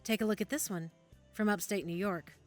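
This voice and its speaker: A woman's voice: mid-range voice, fairly quick, natural voice. A woman acting in an audio drama as a cautious, helpful medical examiner, with a conversational, slightly hesitant manner. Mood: matter-of-fact. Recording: music under the dialogue.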